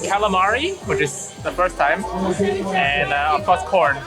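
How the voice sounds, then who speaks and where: A young man vlogging a food tour, describing dishes and local food culture in a relaxed, explanatory way. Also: sits high, a little slow. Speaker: travel vlogger. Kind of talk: casual food vlog commentary. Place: busy food market. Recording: music and busy market crowd behind.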